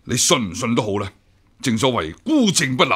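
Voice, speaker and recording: A senior imperial examiner in a Cantonese radio drama, with a Hong Kong accent. The voice is husky and forceful with very lively pitch. He speaks formally and smoothly, guarded and easily offended. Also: brisk. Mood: cautious, persuasive.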